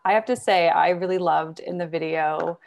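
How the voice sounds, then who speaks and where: A woman's voice: lowish voice, steady tone, moderate pace, creaky, a little mellow. A woman moderating a panel over video call, conversational and appreciative. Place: indoor room on a video call.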